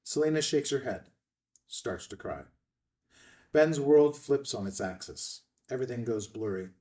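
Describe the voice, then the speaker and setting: A man's voice: medium pitch, lively intonation, measured pace, dark tone. A man narrating a screenplay, reading out scene headings and stage directions at a table read. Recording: slightly distant from the mic.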